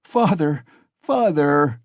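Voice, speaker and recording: A man's voice: a little high, lively intonation, slow, no echo, voice pushed up, full character voice. A man voicing a horrified young boy crying out to his father in a story reading. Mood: pleading. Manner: pleading cries.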